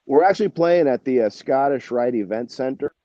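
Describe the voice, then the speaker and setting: A man's voice: medium pitch, lively intonation, a little fast, warm tone, no room sound, muffled recording. An American man chatting casually as an interview guest, in a rambling, informal way. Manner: rambling, informal, peppered with "you know" and "man". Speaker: band musician, interview guest.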